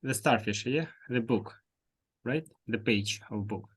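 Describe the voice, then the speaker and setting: A man's voice: mid-range voice, measured pace, breathy edge. A man hosting an online English speaking club, hesitant, with many fillers and restarts over a video call. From Russia. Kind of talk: casual online club meeting.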